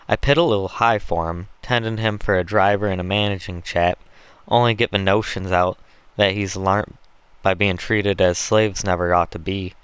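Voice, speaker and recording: A man's voice: low-pitched, fairly bright tone, clear, resonant tone, no echo. A man voicing a coarse, boastful Southern slave owner in an audiobook, with blunt, folksy dialect.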